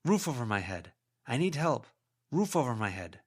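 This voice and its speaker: A man's voice: mid-range voice, sing-song, moderate pace, a little mellow, husky, voice pushed up. A man voicing a desperate ghost of a debt-ridden businessman in an audiobook, muttering obsessively and repeating himself. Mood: desperate, pleading. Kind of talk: audiobook character voice.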